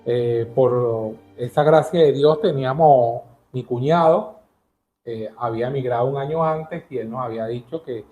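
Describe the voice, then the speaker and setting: A man from Caracas with a Venezuelan accent, telling his story as an interview guest in an earnest, halting way with many restarts. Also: mid-range voice, mellow, reverberant, muffled recording, faint music behind. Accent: Venezuelan Spanish.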